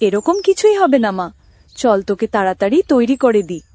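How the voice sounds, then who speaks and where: A woman's voice: a little high, very animated, brisk, mellow. A woman voicing a caring, reassuring mother in a cartoon story, soothing and affectionate. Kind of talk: Bengali cartoon story acting.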